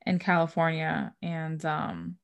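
A woman's voice: deep voice, takes it slowly, vocal fry, clear, resonant tone. A woman whose first language is Spanish, co-hosting a podcast in casual chat, rambling and sometimes stumbling over words. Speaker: podcast co-host.

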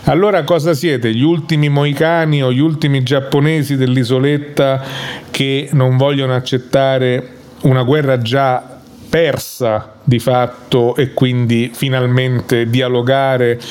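A man's voice: medium pitch, darkish tone. Sarcastic man on studio radio. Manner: argumentative, sarcastic asides, hesitant fillers. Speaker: radio host. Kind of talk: opinionated studio commentary. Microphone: studio microphone.

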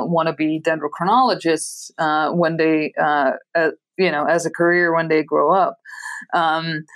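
A middle-aged woman from Belgium, a native Dutch speaker with a Belgian Dutch accent, answering interview questions thoughtfully with frequent restarts. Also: lowish voice. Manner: thoughtful, many restarts and fillers. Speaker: scientist guest.

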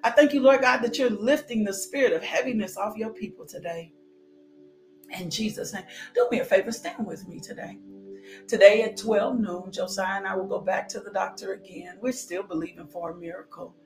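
A woman preaching with fervor, her declarations repeated again and again. She breaks into sudden high whoops, then sinks to near-whispered prayer. Faint music plays behind her. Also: a little fast. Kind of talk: fervent sermon with altar call and blessing.

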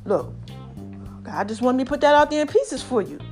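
Lively New woman, high swooping voice, a little raspy, preachy chat to her viewers. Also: brisk, faint music behind. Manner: animated, calls herself Mama, addresses viewers as y'all. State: slightly raspy voice. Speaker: online commentator. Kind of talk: casual preachy chat to followers.

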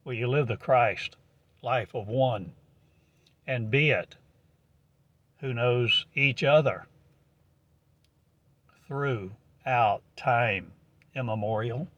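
A man delivers a prophetic monologue in a slow, halting, solemn cadence, full of archaic turns of phrase. His voice sits at an ordinary pitch and is recorded close and dry, with no room around it. The recording is dull and lo-fi. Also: a bit bright, no echo.

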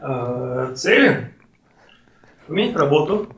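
A man in his late twenties in casual podcast conversation, speaking in a halting, hesitant way with many pauses. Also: a little high, very animated, a bit bright, recorded from a distance. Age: late 20s, turning thirty. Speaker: podcast participant. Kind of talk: casual conversation about personal life. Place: friend's home, indoors. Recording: echoey room, off-mic, faint noise.

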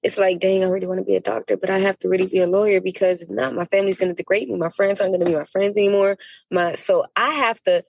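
An American woman from Miami speaking as a podcast guest in a casual interview, telling stories in an animated, emphatic, slangy way. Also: mid-range voice, quick pace, gravelly, slightly rough, dry recording. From Miami, United States.

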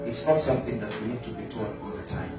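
Male preacher, measured emphatic delivery; distant mic in a very reverberant room. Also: medium pitch. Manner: slow, emphatic, repeats key words. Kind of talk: sermon. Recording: very echoey room, voice from afar, faint music.

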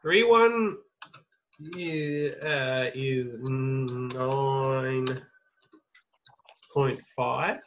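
A man's voice: medium pitch, drawn-out words, pauses often. A man hosting a casual podcast, swearing freely and laughing as he reads out betting odds. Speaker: podcast host taking bets. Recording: dull lo-fi sound with faint noise.

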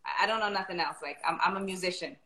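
A woman's voice: fairly low pitch, quick pace, bright, forceful tone. A woman from South Africa, a songwriter and producer, chatting in a bubbly, talkative way as an interview guest. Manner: bubbly, laughs easily, chatty. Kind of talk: casual interview chat. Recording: echoey room.

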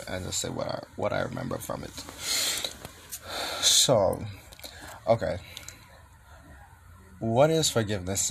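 A man's voice: lowish voice, a little hiss or hum. A young man from Jamaica talking casually and thinking aloud, conversational, with emphatic rhetorical questions. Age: young adult. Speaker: student sharing personal reflections.

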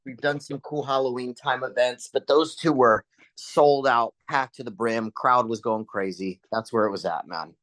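A man's voice: medium pitch, fairly even intonation, normal speed, a bit bright, dry recording, poor audio. A man chatting casually in an interview as a touring musician, with slangy, informal phrasing. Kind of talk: casual chat.

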